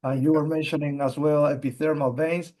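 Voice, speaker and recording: A man with an Argentine Spanish accent, a geologist answering interview questions in English, halting with frequent fillers and repeated words. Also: a little high, flat delivery, a little mellow. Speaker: mining company executive, geologist.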